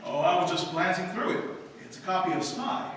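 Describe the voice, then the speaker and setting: A man's voice: sits high, lively intonation, brisk, fairly bright tone. A man acting an earnest, self-important fiancé in a live old-time radio play. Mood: pleased, earnest. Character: earnest, flustered, self-important self-made fiancé, proud and stiff. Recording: very echoey hall, distant mic, faint noise.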